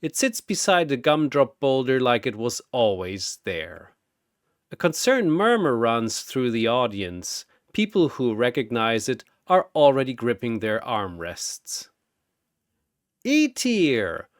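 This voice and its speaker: A man reading scripted fantasy battle narration in a theatrical, deliberate manner, like an arena announcer. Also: mid-range voice, sing-song, no echo, clean studio sound.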